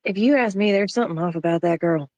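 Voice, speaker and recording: A woman's voice: fairly low pitch, fast, a little mellow, dry recording, lightly acted. A woman acting a superstitious, wary hospital nurse in a screenplay table read, speaking insistently.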